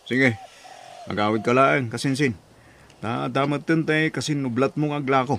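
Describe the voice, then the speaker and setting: A man with a Filipino accent playing an easygoing, generous cousin in a radio drama, relaxed and obliging. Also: mid-range voice, moderate pace, gravelly, a little hiss or hum.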